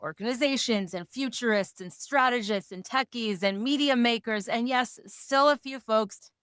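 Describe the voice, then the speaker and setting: A woman co-hosting a podcast in a casual, playful way, slightly hoarse and given to jokes and lists. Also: on the high side, moderate pace, a bit bright, no room sound, clean studio sound. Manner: playful, jokey, talks in lists. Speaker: podcast co-host. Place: Rochester area.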